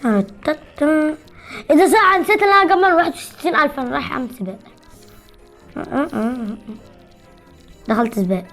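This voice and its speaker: A woman's voice: high voice, animated, fast talker, pauses often, a bit bright, faint music behind. A woman with an Egyptian Arabic accent giving casual gameplay commentary, teasing and boasting as a channel host.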